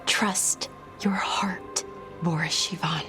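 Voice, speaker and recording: Earnest elven heroine, game drama: emotional, unhurried, pleading, over clear background music. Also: female voice, on the low side, steady tone, whispered. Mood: tender pleading. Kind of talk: dramatic video game voice acting.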